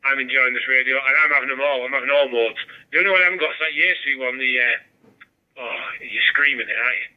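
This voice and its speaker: A man's voice: mid-range voice, quick pace, clean tone. A man with a northern English accent chatting casually over a digital two-way radio, rambling and jokey, with a muffled transmission sound. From Barrow-in-Furness, northern England. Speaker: amateur radio operator.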